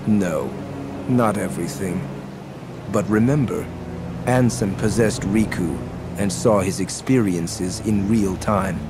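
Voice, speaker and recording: An actor playing a calm, mysterious young male villain in a game, speaking in a measured, cryptic and solemn way. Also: low voice, noisy background. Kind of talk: video game cutscene acting. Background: game soundtrack music.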